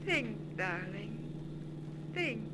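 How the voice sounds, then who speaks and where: Possessive lady ghost, slow and coaxing, old radio play, noisy hum. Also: mid-range voice, big pitch swings. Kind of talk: 1940s radio melodrama acting. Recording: noisy 1940s radio recording, humming.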